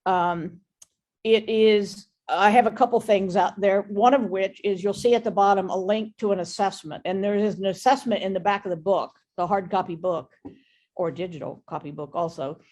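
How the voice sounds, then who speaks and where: A woman with a mid-pitched voice talks frankly as a guest business expert. She states her opinions openly in a conversational style, speaking in an online meeting. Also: steady tone, normal speed, darkish tone.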